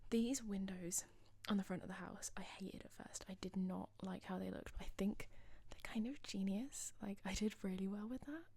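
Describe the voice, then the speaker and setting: A young woman talks over her game footage in a loose, rambling way, cutting in with little self-deprecating asides. Her voice is slightly husky, as if she is losing it, and it sits at a middling pitch. The recording is close and dry, with no room sound. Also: moderate pace, few pauses, mellow, dry recording. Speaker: gaming channel host. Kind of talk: casual voice-over commentary.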